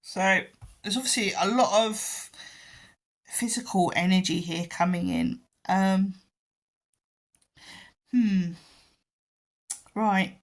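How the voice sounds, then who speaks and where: A woman giving a pick-a-card tarot reading in a gentle, halting way, full of ums and restarts. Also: medium pitch, animated. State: croaky voice and yawning. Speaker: tarot reader.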